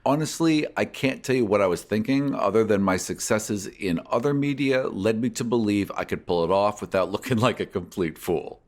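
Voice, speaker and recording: A man hosting a podcast, reading out and answering listener questions in an articulate way. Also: fairly low pitch. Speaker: podcast host and game master.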